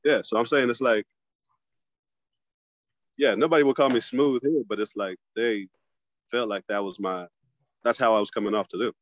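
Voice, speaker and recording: A man, a stand-up comic, chatting casually as a podcast guest over a phone video call, loose and relaxed, often restarting his phrases. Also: mid-range voice, moderate pace, pauses often, no room sound, lo-fi sound. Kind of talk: casual conversation.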